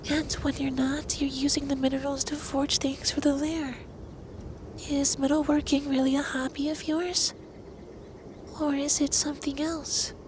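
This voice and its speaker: Man voicing a high, soft-spoken androgynous dragon lover. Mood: concerned, reproachful. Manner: formal, earnest, deliberate phrasing. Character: androgynous, centuries-old male dragon, stern but tenderly caring lover. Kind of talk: ASMR roleplay, intimate scripted monologue addressed to listener. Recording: clear background noise with ambience.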